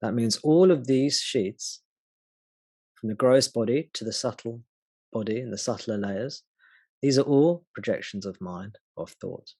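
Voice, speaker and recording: A man's voice: mid-range voice, unhurried, a little mellow, husky, dry recording. A man leading a satsang, explaining spiritual teachings patiently and thinking aloud as he goes.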